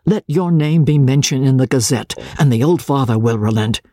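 Kindly, steady gentleman captain for a period audiobook, acted by a narrator, husky, dry close sound. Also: medium pitch, normal speed, dry recording, natural voice. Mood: good-natured, reassuring. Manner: placid, reassuring. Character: Dobbin, patient good-natured loyal army captain, male.

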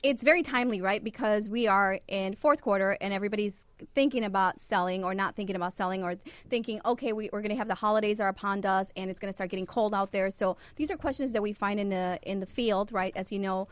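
A woman's voice: medium pitch, quick pace, no room sound. A woman hosting a casual real estate talk show in an upbeat, teasing way. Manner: upbeat, laughs often, teasing. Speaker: host, real estate broker.

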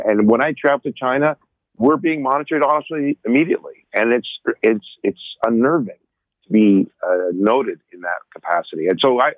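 A man's voice: on the low side, no echo. A man speaking as a guest on a radio talk show, earnest, often repeating himself. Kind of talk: casual talk radio chat. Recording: phone line.

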